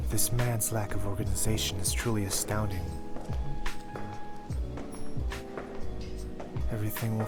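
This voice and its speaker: Prominent music sits behind a low male voice. A man acts out an anime roleplay's ghoul doctor, composed and curt, who phrases everything with dry, measured formality. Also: natural voice. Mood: dry, impatient. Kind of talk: anime fan audio roleplay. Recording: prominent music bed under voice.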